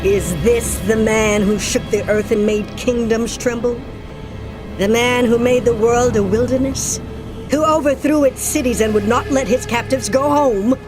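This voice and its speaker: A woman's voice: fairly high pitch, fairly even intonation, measured pace, natural voice. Woman as an incredulous, scornful onlooker. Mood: scornful disbelief. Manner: incredulous, taunting. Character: astonished, scornful onlooker at a fallen tyrant. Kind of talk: dramatized scripture reading. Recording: music under the voice.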